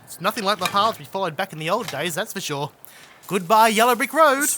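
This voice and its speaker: A woman playing an eager, cheerful, scatterbrained living scarecrow in an audio drama, chirpy and excitable. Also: medium pitch, brisk, a little hiss or hum. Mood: musing. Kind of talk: full-cast audio drama.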